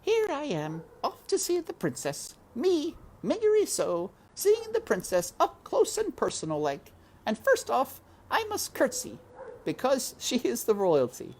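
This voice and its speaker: A woman voicing an earnest, slow-witted, hard-of-hearing servant girl in a storybook, with rustic speech and a shouty, muttering manner. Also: high-pitched, wildly swooping pitch, slight background noise, pitched above the performer's own voice, full character voice, poor audio.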